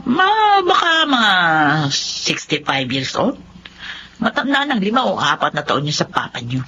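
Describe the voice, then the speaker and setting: A woman's voice: mid-range voice, wildly swooping pitch, measured pace, bright, forceful tone, dull audio, slight background noise, exaggerated voice. A woman playing a bossy but sympathetic middle-aged mother in a radio drama, with a Filipino accent and an exclamatory, nagging manner. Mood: confiding, wistful. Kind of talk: Tagalog radio drama acting.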